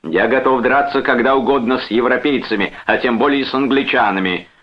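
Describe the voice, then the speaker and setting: A man's voice: low voice, moderate pace, dull audio. A man voicing an aggressive brother-in-law in an audiobook, blustering and threatening with a sarcastic edge. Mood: furious.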